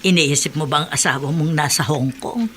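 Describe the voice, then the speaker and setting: A gentle worried mother. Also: low voice, a little fast, a little hiss or hum.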